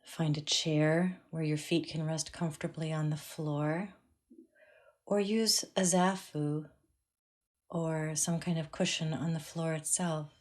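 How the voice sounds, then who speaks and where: Woman, meditation teacher, slightly low pitch, very slow pace, calm, measured, long pauses. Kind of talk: guided meditation.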